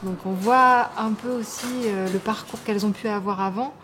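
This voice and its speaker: Mid-pitched woman, measured shelter staffer. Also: steady tone, fairly bright tone, slight background noise. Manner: measured, with fillers. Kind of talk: documentary interview.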